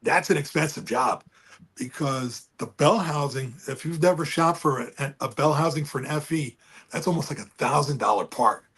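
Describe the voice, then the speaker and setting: A man with a booming voice chatting casually and rambling about engines over a remote call. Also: normal speed, a bit bright, breathy edge. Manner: rambling, folksy, restarts often. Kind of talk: casual car chat. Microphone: remote call with speaker feedback.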